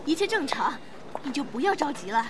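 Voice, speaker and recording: A woman's voice: high voice, sing-song, fairly quick, heavy background noise. A woman playing a bubbly, fussing middle-aged mother, chatty and full of exclamations, in a radio drama. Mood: reassuring, fussing. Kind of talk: modern Chinese radio drama.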